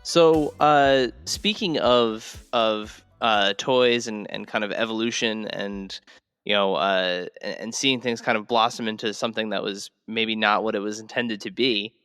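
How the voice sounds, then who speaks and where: A man's voice: on the low side, steady tone, a little slow, a bit bright, clear, resonant tone, dry recording, studio quality, faint music behind. A man hosting a podcast in casual chat, talking in rambling, hesitant phrases with repeated words. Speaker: podcast host.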